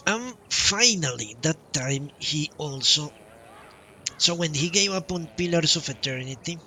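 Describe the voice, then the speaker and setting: A man streaming a video game, telling a long story casually in a halting, drawn-out way. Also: fairly high pitch, expressive, bright, forceful tone, slight background noise. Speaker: game livestreamer. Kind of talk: casual rambling storytelling while playing a game. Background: video game music.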